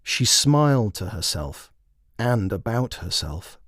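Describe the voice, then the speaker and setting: A man's voice: lowish voice, very animated, slow, a bit bright, dry recording, clean studio sound. A man reading a scripted short story as its narrator. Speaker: author and narrator.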